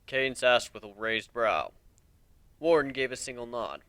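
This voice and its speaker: A man narrates fan fiction as a dramatic reading. His voice is bright and forward, at an ordinary male pitch, captured dry and close with no room around it. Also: animated, moderate pace, clear, resonant tone, no room sound.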